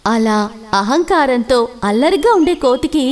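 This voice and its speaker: A woman's voice: high voice, expressive, normal speed. A woman narrating a children's story in a scripted storytelling style.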